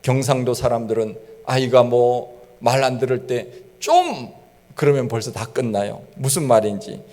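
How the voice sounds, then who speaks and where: A man's voice: medium pitch, lively intonation, moderate pace, a little mellow. A middle-aged male pastor from Gangwon Province preaching a sermon in a folksy storytelling way, imitating regional dialect phrases. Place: church sanctuary. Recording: long church hall reverb.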